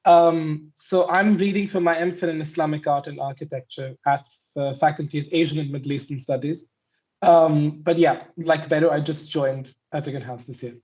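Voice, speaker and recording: A young man from India gives a relaxed introduction over a video call, pausing on fillers. His voice is a little higher than usual. The sound is dull and lo-fi. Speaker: graduate student. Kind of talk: brief self-introduction.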